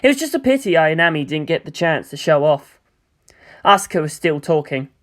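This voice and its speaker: A woman's voice: low-pitched, big pitch swings, a little fast. A woman narrating a scripted story as a fan fiction reader.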